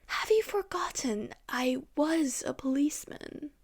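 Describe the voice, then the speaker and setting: A woman's voice: sits high, animated, a little slow, voice pushed up. Sneering rogue policeman, as a woman reads him. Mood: menacing. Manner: sneering, whining. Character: menacing rogue male policeman, bitter and self-pitying.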